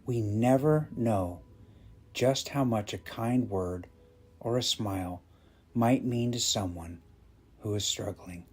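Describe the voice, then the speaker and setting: A man's voice: fairly low pitch, slow pace, breathy edge. A man narrating a scripted short story as the storyteller.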